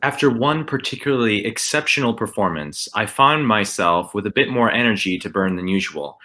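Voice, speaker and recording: A man's voice: on the low side, expressive, moderate pace, bright, forceful tone. A man reading a first-person story aloud as scripted narration.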